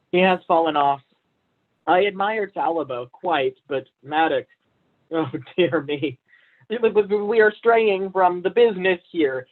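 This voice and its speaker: The sound is narrow and phone-like. A young man plays a pompous poet and husband in a period stage comedy, his voice pitched somewhat high. He is vain and blustering, with stiffly formal speech. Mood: wavering, flattered. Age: young adult. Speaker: student actor.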